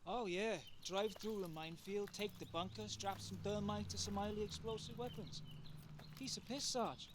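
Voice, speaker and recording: A man's voice: high-pitched, steady tone, normal speed, noisy background, natural voice. A man acting a cheeky, cocky British private in a war game, with a British accent and a breezy delivery.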